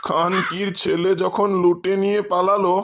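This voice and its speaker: A man voicing a dazed, brooding old village shopkeeper in a cartoon, muttering a slow, creaky lament. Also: high voice, level tone, a bit bright. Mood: dazed, aggrieved. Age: older. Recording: faint background noise.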